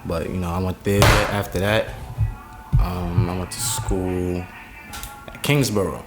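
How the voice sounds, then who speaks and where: A young man with a New York accent, a podcast guest telling stories in a relaxed, slangy way. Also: sits low, measured pace, over music. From Staten Island, New York. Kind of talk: casual interview chat.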